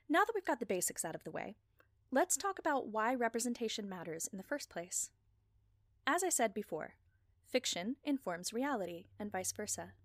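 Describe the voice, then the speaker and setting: A woman delivering a scripted personal talk as a podcast creator, with measured, articulate phrasing and deliberate emphasis. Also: medium pitch, dry recording, clean studio sound.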